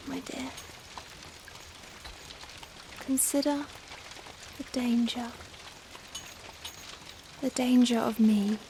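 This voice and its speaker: A young Victorian vampire, played by a woman in an intimate ASMR roleplay. The voice is high and soft, moving very slowly through formal, hesitant phrases. The character is tender, anguished and full of self-reproach, with rain pattering behind. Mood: resigned, gentle. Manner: hesitant, formal, emotional, pleading. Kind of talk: softly spoken ASMR roleplay acting. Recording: steady rain noise behind. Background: rain falling on a surface.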